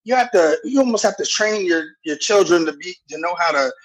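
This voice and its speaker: A man with a loud, deep voice talking earnestly in a casual podcast discussion, often restarting his phrases. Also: lively intonation, a little fast, slightly rough, low-quality recording. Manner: earnest, restarts phrases, keeps asking "you know what I'm saying". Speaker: podcast guest.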